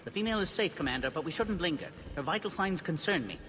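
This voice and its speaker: An actor playing a dry, anxious alien scientist in a video game, precise and formal in speech. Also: male voice, a little high, animated, fast, bright, forceful tone. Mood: concerned. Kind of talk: video game cutscene acting. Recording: long reverb, noisy ambience behind.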